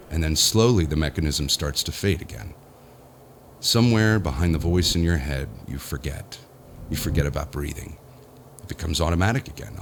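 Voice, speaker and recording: An actor playing a haunted, remorseful business tycoon in an audio horror drama, with a brooding, deliberate delivery. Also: male voice, deep voice, a little hiss or hum. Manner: brooding, deliberate, halting when shaken. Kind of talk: dramatic first-person horror narration and dialogue. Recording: loud music under the voice. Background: dramatic music.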